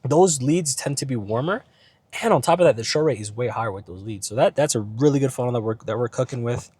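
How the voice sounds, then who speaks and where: A man's voice: medium pitch, expressive, fast talker, a little mellow, husky, no echo. A man talking casually about business while driving, rambling with many fillers and asides, his voice starting to go from illness. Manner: rambling, filler-heavy, keeps asking "right?". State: ill, voice starting to go. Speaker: business vlogger. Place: in a car.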